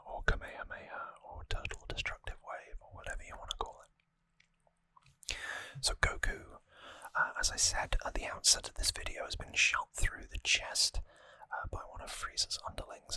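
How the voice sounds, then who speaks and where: An ASMR host whispering an informal story recap in a soft, breathy, unvoiced voice, close to the microphone. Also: no echo. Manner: soft-spoken, informal, explanatory. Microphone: close microphone, dry.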